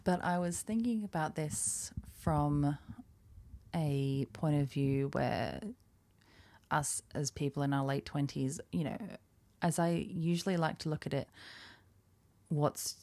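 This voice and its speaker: A young woman in her twenties talks through a solo podcast in a low, unhurried voice. She is chatty and self-deprecating, laughing at herself as she goes. The sound is close and dry, with no room around it. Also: dark tone, no echo. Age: 20s. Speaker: podcast host. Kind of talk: casual solo podcast chat.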